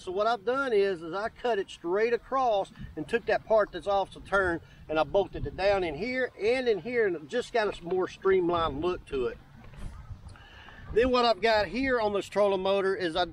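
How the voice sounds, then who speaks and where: A man's voice: high voice, a little hiss or hum, low-quality recording. A middle-aged man giving an enthusiastic, folksy, casual walk-through as a video host, speaking outdoors. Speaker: YouTube host demonstrating DIY kayak builds. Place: outdoors on open grass by water.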